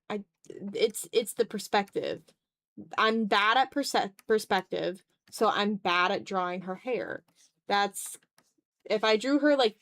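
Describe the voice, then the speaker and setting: A woman's voice: medium pitch, fairly bright tone. A woman hosting a casual art livestream, thinking aloud in a self-deprecating way as she draws. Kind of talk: casual chat while drawing on a livestream.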